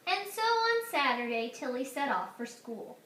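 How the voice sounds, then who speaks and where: A woman reading a children's story aloud with expressive narration. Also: high voice, normal speed. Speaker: storybook reader. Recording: echoey, distant, faint room noise.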